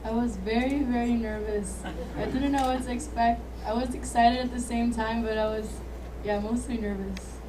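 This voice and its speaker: A woman's voice: on the high side, steady tone, moderate pace, hardly any pauses, noisy background. A woman from Aruba, a ship crew member, speaking candidly and earnestly. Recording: distant mic with music.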